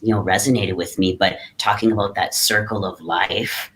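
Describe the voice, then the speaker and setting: A man talking in a relaxed, reflective way, sharing the story of his artistic practice as the guest in an interview. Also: low-pitched, moderate pace. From Great Lakes region, North America. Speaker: guest artist, hoop dancer.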